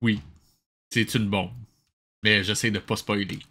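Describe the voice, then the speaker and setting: A man's voice: on the low side, steady tone, unhurried, loud music behind the voice. A man with a Quebec French accent giving casual, joking commentary as he hosts a cartoon watch-along. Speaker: host reacting to a cartoon. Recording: loud cartoon soundtrack behind the voice.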